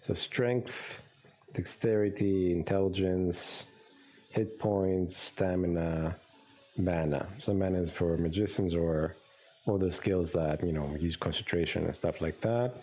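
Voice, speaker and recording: A man with a Greek accent talks through a game on a live stream in a very deep, low voice. He rambles and thinks aloud, with lots of ums and trailing thoughts. He speaks into a close desk mic indoors at home. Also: fairly even intonation, unhurried, a little mellow, a little hiss or hum. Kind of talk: casual live-stream commentary.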